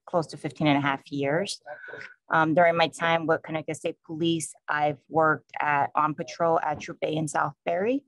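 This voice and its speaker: A man with a New York accent, a police sergeant introducing himself on a panel in a plain, conversational way with many pauses. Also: on the high side, fairly bright tone, no room sound, rough recording. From New York, USA.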